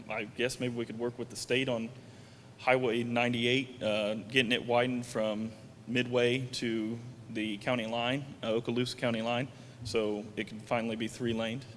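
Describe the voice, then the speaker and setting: A man's voice: level tone, fairly bright tone. Plain-spoken man in a hall. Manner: plain-spoken, halting with restarts and fillers. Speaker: county commission candidate. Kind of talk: candidate debate answers. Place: event hall, PA sound system. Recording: long hall reverb, faint rumble.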